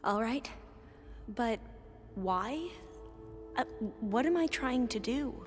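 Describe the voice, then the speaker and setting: A woman's voice: on the high side, expressive, takes it slowly, long reverb tail, faint music behind. A woman playing an earnest young ally in a game cutscene, speaking hesitantly. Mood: unsure, willing. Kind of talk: video game cutscene acting.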